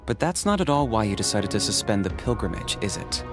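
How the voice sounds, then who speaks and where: An actor playing a cool, shrewd, level-headed man in an anime video game, speaking in a measured, probing way. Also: lowish voice, very animated. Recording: music under the voice. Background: game music.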